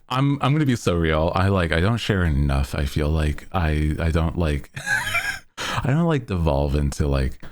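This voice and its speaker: Very deep-voiced, slow-talking male streamer. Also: a little mellow. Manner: rambling, self-deprecating, jokey. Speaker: VTuber livestream host. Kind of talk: casual chat with stream viewers.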